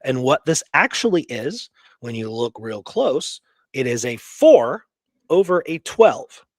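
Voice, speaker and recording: A man's voice: medium pitch, big pitch swings, slow, dry recording, studio quality. A man co-hosting a casual history talk, going through research notes conversationally and often checking that his listener follows. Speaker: co-host presenting historical research.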